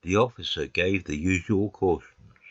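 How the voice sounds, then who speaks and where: A man plays a loyal friend telling a mystery in the first person. The voice is extremely deep and husky, on a slightly dull recording with no room sound. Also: moderate pace, dry recording. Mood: earnest, hushed. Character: male narrator, loyal friend of the detective. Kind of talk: scripted first-person story narration.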